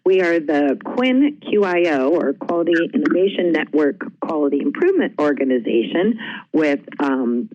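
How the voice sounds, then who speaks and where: A woman's voice: deep voice, sing-song, normal speed, a little hiss or hum. Female webinar presenter, conversational delivery, somewhat muffled lo-fi connection. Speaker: webinar presenter, healthcare quality specialist. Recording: slightly dull, lo-fi audio.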